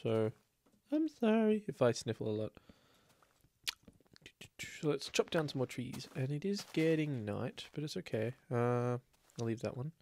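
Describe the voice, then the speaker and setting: A man's voice: medium pitch, big pitch swings, a little slow, darkish tone, a little hiss or hum. A man with a slight cold, chatting casually and rambling as he plays a building game. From Australia. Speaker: let's play host. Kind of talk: casual gameplay commentary.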